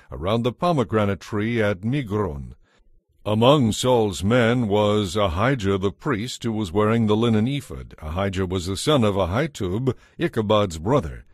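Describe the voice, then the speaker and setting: Low-voiced male audiobook narrator, dry sound. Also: no echo. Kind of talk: scripted dramatized Bible narration.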